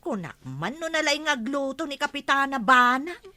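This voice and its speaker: A woman playing a lively, gossipy village neighbour in an Ilocano radio comedy drama, with an Ilocano Filipino accent. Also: high voice, moderate pace, dry recording. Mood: grumbling.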